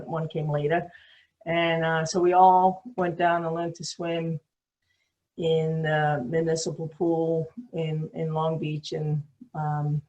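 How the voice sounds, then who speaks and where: A woman's voice: deep voice, a little mellow, muffled recording. An older woman from New York City with a New York accent, telling her story as a guest on a call, with many ums and restarts. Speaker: guest swimmer. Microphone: video call.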